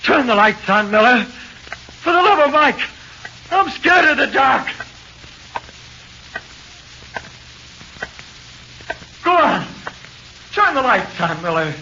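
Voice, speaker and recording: A man's voice: extremely high pitch, big pitch swings, unhurried, pauses often, bright, forceful tone, heavily acted. A man with an American accent playing a tough-talking but easily frightened jailed crook in 1940s radio horror, slangy and tense. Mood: panicked pleading. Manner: slangy, uneducated, tense delivery. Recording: muffled, hissy 1940s radio recording.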